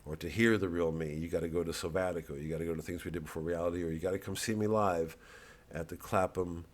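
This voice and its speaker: A low-voiced man talks like a comedian working off the cuff. He rambles and cuts himself short as he plugs his show. His delivery is sardonic and casually profane. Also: steady tone, fast. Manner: rambling, self-interrupting, acting out bits. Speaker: comedian promoting a live show. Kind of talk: off-the-cuff comic monologue.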